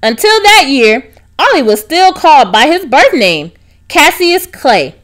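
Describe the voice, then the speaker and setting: A woman narrating a children's storybook, reading aloud expressively with clear enunciation. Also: high-pitched, big pitch swings, a little slow, a bit bright. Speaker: narrator, read-aloud reader.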